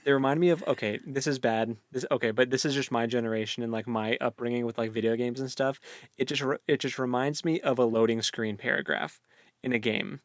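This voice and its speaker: A young man co-hosting a book discussion, chatting informally and enthusiastically in halting phrases. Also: medium pitch, dry recording. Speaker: book podcast co-host.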